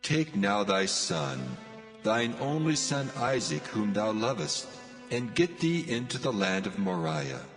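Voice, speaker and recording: A man's voice: lowish voice, very animated, slow pace, a bit bright, full character voice. A man playing a solemn, commanding deity in a dramatised scripture reading, with long reverb. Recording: long cavernous reverb over faint music.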